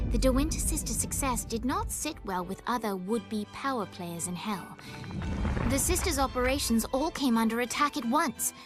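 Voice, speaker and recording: A woman's voice: a little high, natural voice. A woman performing as a composed storybook narrator for a video game, reading scripted narration.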